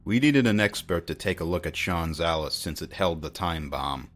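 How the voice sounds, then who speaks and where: An actor playing a brooding war-veteran police detective with a grave, hardboiled manner in a noir audio drama. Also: male voice, sits low, animated, normal speed, fairly bright tone. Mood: deferential, concerned.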